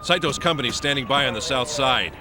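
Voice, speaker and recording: A man voicing a gruff, cocky squad veteran in an English anime game dub, talking bluntly in casual slang. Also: mid-range voice, lively intonation, fairly quick, crowd noise, lightly acted. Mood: blunt, confident.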